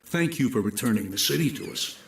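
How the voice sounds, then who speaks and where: A man playing a calm, knowing servant of the gods in a video game cutscene, with a gravelly, measured delivery. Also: medium pitch, slight background noise. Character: calm, knowing male guide serving the gods. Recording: roomy echo, faint music behind.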